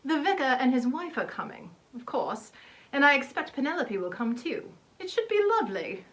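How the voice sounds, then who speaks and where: A woman's voice: high voice, big pitch swings, moderate pace, fairly bright tone, heavily acted. A woman playing a prim, shy, proper unmarried librarian in a dramatized novel reading, speaking politely and with restraint.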